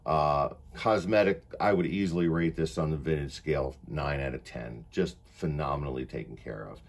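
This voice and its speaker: A man's voice: rumbling low, normal speed, vocal fry. A man giving a casual product demonstration as he shows off equipment indoors. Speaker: demonstrator of a reel-to-reel tape deck. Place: indoors, in a wood-panelled room.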